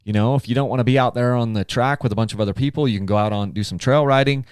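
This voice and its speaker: A man's voice: mid-range voice, rapid-fire, darkish tone, clear, resonant tone, no room sound, studio quality. A man hosting a podcast interview from his home office, talking in a thoughtful, rambling way that often restarts his sentences. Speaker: podcast host. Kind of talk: casual interview conversation.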